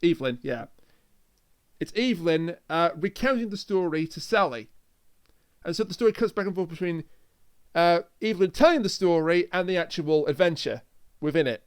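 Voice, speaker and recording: A man's voice: on the high side, normal speed, smooth, no echo, clean studio sound. A man co-hosting a podcast, chatting casually as he reviews a story. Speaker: podcast co-host.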